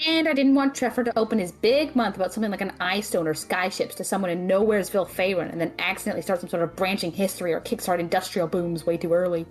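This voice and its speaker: A woman playing a sharp-tongued, responsible female student mage in an audio drama, blunt and sardonic. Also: medium pitch, fast talker, few pauses, soft background music, lightly acted. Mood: matter-of-fact.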